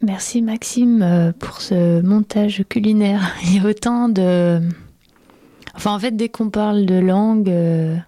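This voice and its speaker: A woman hosting a radio show, chatting in a relaxed, hesitant way with many fillers. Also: medium pitch, a little slow. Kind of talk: casual radio chat.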